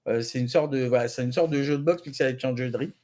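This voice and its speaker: A man's voice: medium pitch, fast, darkish tone. A man giving news as a columnist in a rambling, slangy, jokey way. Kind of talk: casual chat.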